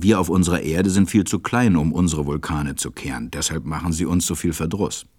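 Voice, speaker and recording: A man playing a gentle, reflective storyteller, narrating a storybook radio play in a measured way. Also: deep voice.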